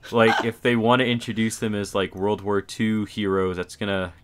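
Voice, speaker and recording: A man with a deep, low-pitched voice, speaking as a podcast panelist. His talk is informal and conversational. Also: flat delivery, moderate pace. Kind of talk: casual chat.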